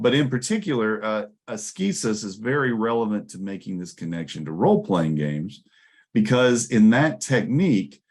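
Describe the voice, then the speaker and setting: Low-voiced man giving an academic talk. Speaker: academic presenter. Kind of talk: conference presentation, explanatory lecture.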